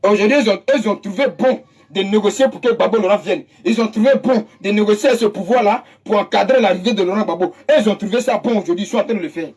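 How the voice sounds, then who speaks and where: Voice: medium pitch, fast, hardly any pauses, darkish tone, breathy edge. A speaker with an Ivorian French accent giving an impassioned political monologue, emphatic and mocking, repeating phrases for effect. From Côte d'Ivoire. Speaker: political commentator.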